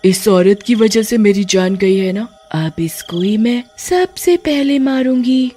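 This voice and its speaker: A woman's voice: medium pitch, lively intonation, dark tone, soft background music. A woman voicing a vengeful, bitter ghost girl in a possessed doll, with theatrical menace in a horror story. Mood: menacing. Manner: theatrical, menacing, drawn-out threats. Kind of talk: dramatized horror story acting.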